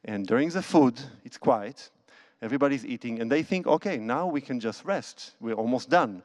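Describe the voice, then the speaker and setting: A man's voice: medium pitch, animated, moderate pace, a little mellow. A conductor and cellist talking to a concert audience from a church stage into a handheld microphone. He tells stories casually, with non-native phrasing and restarts.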